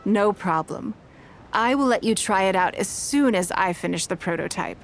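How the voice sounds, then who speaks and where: Refined wealthy businesswoman, video game character, mid-range pitch, poised, measured, articulate, courteous and shrewd, music behind. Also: lively intonation, gravelly. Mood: thoughtful, proud. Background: background music.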